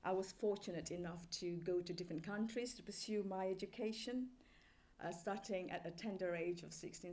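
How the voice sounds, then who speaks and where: Lower-pitched woman lecturer from Malaysia. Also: a little mellow. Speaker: professor giving an inaugural lecture. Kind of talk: public lecture, semi-scripted. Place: lecture hall with a live audience.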